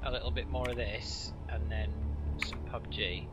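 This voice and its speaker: A man's voice: mid-range voice, fairly even intonation, moderate pace, heavy background noise. A man streaming a game, chatting casually and swearing, often trailing off mid-sentence. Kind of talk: casual chat while gaming. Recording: dull lo-fi stream audio with loud game music. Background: loud game music.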